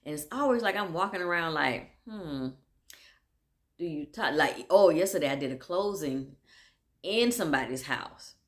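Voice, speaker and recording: A woman chats in a loose, candid monologue. She laughs easily, drifts off on tangents and pulls herself back, and her voice sits deep for a woman. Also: expressive, moderate pace. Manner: chatty and candid, laughs often, drifts off track. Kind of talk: casual monologue.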